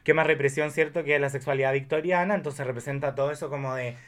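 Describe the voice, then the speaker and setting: A man, chatting casually in an expressive, campy way and telling digressive stories about films. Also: medium pitch, quick pace. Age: 30s. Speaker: podcast guest or co-host discussing films. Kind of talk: casual conversational chat.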